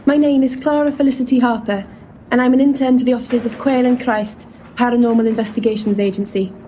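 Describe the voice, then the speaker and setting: A high-pitched, earnest young female intern, played by a woman in an audio play. She is polite and loyal, telling her story carefully over faint noise. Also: steady tone, quick pace, warm tone, close to an everyday voice, low-quality recording. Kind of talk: audio drama acting.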